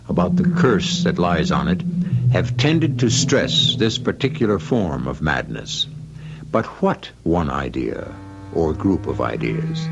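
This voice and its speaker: A man's voice: low voice, full character voice. A man hosting an old radio mystery anthology, narrating in a grave, theatrical and ominous manner. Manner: theatrical, rhetorical, portentous. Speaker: host narrator. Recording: muffled old radio recording with music.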